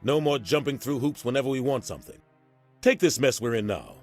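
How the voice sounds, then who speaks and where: An actor playing a seasoned military captain in a video game, gruff and measured as he explains things like a mentor. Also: mid-range voice, animated, darkish tone. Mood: frustrated, firm. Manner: measured, explanatory, matter-of-fact. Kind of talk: video game dialogue acting. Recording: game music underneath.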